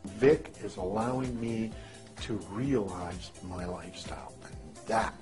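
A man's voice: fairly low pitch, measured pace. A middle-aged man from Canada, giving an eager testimonial. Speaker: former pipe fitter, testimonial. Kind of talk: testimonial to camera. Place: indoors, high-rise room with window view. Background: soft music.

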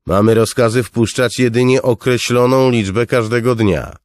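A man's voice: mid-range voice, fairly even intonation, normal speed, darkish tone, no room sound, voice pushed up, close to an everyday voice. A man voicing a firm, weary city guard captain in an audiobook, insistent and exasperated.

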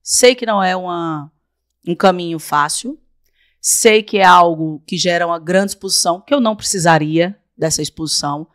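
A woman in her forties from Paraíba, Brazil, a guest on a studio podcast, talking emphatically into a desk boom mic and repeating phrases for effect. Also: normal speed, dry recording. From Brazil, raised in Paraíba. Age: 40s. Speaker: guest, council candidate. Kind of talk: podcast interview. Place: small podcast studio with blue-lit backdrop. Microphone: desk mic on boom arm.

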